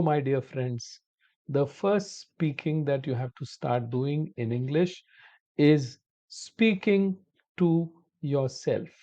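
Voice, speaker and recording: A man teaching a spoken-English lesson to viewers, talking slowly and deliberately and calling them his dear friends. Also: medium pitch, dark tone. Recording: dull lo-fi audio.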